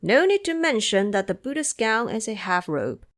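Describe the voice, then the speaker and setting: A woman voicing a humble, pious male monk in an audio drama, courteous and measured, with a preaching manner. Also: fairly high pitch, sing-song. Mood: measured, reciting.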